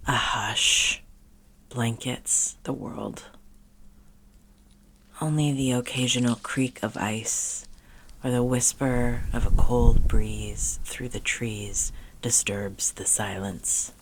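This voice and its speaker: A woman leading a soothing guided meditation in a hushed, gentle way with deliberate pauses and soft phrase endings. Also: extremely low pitch, fairly even intonation, breathy edge, rough recording.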